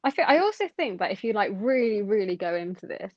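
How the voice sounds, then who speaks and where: A woman's voice: medium pitch, sing-song, fast talker, dry recording. A young woman from London co-hosting a podcast, thinking aloud in casual chat with lots of fillers. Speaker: podcast co-host. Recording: dull dry lo-fi sound.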